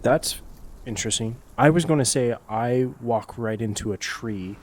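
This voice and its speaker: A man's voice: medium pitch, unhurried, a little mellow, slight background noise. A man chatting casually as a player in a tabletop role-playing game. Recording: faint music behind the voice. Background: faint background music.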